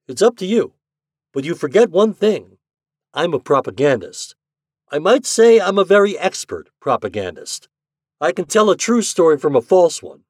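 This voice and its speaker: A man voicing a determined male propagandist writer who talks in a forceful, snapping way. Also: fairly high pitch, dry recording, studio quality, pitched above the performer's own voice.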